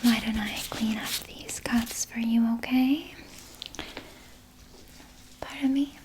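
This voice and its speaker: A woman voicing a soothing, sly vampire nurse in a gentle, breathy ASMR whisper, reassuring a patient with small hesitant pauses. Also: fairly high pitch, fairly even intonation, noisy background. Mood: soothing, evasive. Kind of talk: ASMR personal attention roleplay.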